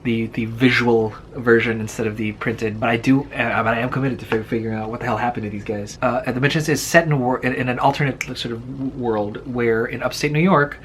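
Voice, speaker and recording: A man chatting casually about books in a slangy, wry, halting way, often doubling back on his words. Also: mid-range voice, fairly even intonation, fairly bright tone, a little hiss or hum. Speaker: co-host of a book vlog. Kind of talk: casual book chat.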